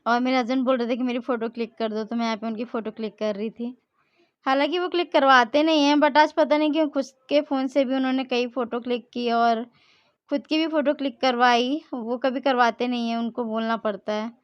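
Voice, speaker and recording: A woman's voice: on the high side, fairly even intonation, a little fast, smooth, dry recording, muffled recording. A young woman, chatting casually through her vlog and often correcting herself mid-sentence. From India. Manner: chatty, rambling, frequent self-corrections. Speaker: vlogger.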